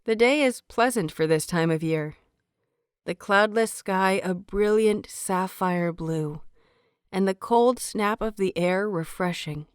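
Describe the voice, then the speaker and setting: A woman reading scripted fiction as an audiobook narrator, with measured, expressive phrasing. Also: medium pitch, smooth, no room sound, studio quality.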